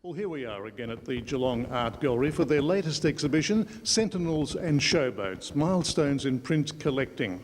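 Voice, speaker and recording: A man's voice: medium pitch, animated, moderate pace, few pauses. A man with an Australian accent, working as a television reporter and doing an on-location interview in a large indoor space. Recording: echoey hall with clear background noise.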